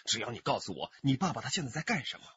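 An actor playing a sly stranger in a radio drama, wheedling small children in a sing-song, coaxing way. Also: male voice, medium pitch, very animated, very fast, sharp tone, breathy edge, no echo, heavily acted. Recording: dull, slightly noisy radio drama recording.

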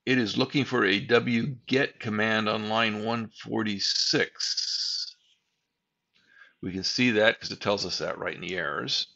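Low-voiced older man, methodical tech walkthrough. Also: measured pace, creaky, sharp tone, lo-fi sound. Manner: plain step-by-step explaining, methodical. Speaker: tech tutorial host. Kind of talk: screen-recorded software tutorial narration.

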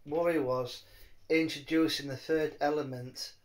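A man chatting casually in a rambling, halting way, often repeating his words. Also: on the high side, steady tone. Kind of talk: rambling casual phone chat.

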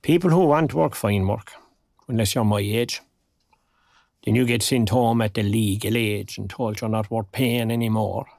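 A recently retired Irish man in his sixties, lonely but wry. His gravelly voice stays understated and wistful, as in an intimate audio drama. The sound is dry, with no room around it. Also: fairly low pitch, moderate pace, darkish tone, no room sound. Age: 60s. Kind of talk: naturalistic audio drama acting.

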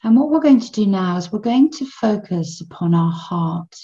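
A woman guiding a children's meditation in a gentle, soothing manner, in measured phrases with long pauses between them. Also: mid-range voice, animated, muffled recording. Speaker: meditation guide.

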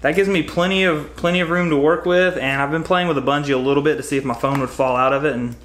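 A man's voice: a little high, steady tone, fast talker, a bit bright. A man in his thirties, upbeat and chatty, reviewing gear in a casual home vlog, recorded on a close camera mic in his garage. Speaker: fishing vlogger.

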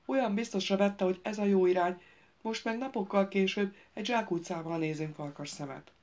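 A woman's voice: mid-range voice, darkish tone, pitched above the performer's own voice, lightly acted. A woman voicing a complaining, agitated young man who whines and accuses the others, in an audiobook. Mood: indignant whining. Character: whiny indignant young man, a rabble-rouser. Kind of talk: audiobook character voice.

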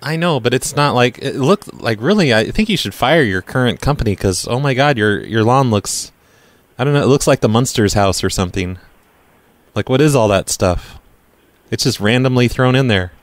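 A man's voice: mid-range voice, animated, normal speed, natural voice. A man playing a blundering, casually unapologetic lawn care worker on a prank call, hesitant but blunt in the way he talks. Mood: blunt, defensive. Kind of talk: improvised prank phone call.

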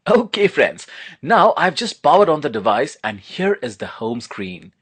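A man's voice: on the high side, sing-song, a little slow, fairly bright tone, husky. A man narrating a product unboxing and demo as a reviewer, in an enthusiastic, promotional manner.

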